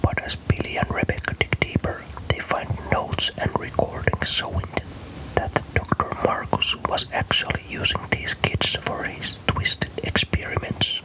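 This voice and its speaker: Man in a soft whisper. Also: rough recording. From Finland. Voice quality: soft, breathy unvoiced whisper. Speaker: ASMR storyteller. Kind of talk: whispered ASMR scripted narration.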